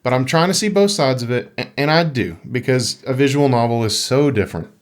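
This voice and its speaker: A man with a mid-pitched voice talks as a podcast co-host. His delivery is casual and conversational, with a joking, playful streak and some dramatic emphasis on read-out lines. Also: animated, fairly quick. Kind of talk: casual conversational podcast chat about gaming.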